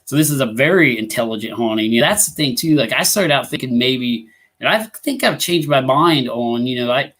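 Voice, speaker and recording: A man's voice: mid-range voice, lively intonation, fairly quick, fairly bright tone, slightly rough. A man from Illinois hosting a live talk show, chatting casually and rambling with many fillers and restarts. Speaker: ghost hunting group host.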